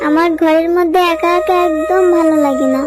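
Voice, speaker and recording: Very high-pitched, pleading little girl. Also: steady tone, fairly quick. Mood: pleading, eager. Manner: whiny, pleading, childlike. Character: eager, pleading little cartoon village girl. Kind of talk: children's cartoon dubbing. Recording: background music under voice.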